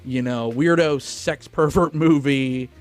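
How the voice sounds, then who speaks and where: Male film podcast co-host, mid-pitched, casual and blunt, cursing freely over faint music. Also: takes it slowly. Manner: profane, opinionated.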